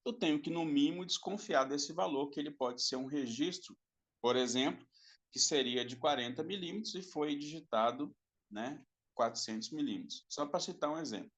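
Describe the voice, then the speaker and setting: A man's voice: a little high, fairly bright tone. A man giving a recorded class lecture, explaining step by step, repeating himself and checking in with the students. His throat is dry and he coughs now and then.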